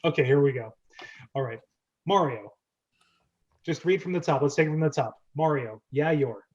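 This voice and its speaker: Higher-pitched man hosting, muffled lo-fi audio. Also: normal speed, darkish tone. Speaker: host of a script reading club. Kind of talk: casual group discussion with read-aloud script.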